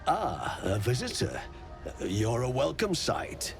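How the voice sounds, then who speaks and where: An actor playing a proud scholarly Underdark creature in a video game, with a formal, polite and pompous manner. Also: male voice, mid-range voice, wildly swooping pitch, slow, vocal fry, music in the background, full character voice. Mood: courteous, formal.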